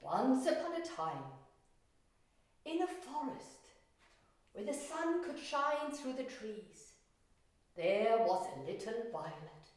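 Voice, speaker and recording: A woman's voice: on the high side, very animated, darkish tone. Female children's teacher and storyteller, leisurely and reassuring delivery, very reverberant distant recording. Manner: simple, unhurried, reassuring explanations. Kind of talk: gentle children's art lesson and storytelling. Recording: echoey room, distant microphone.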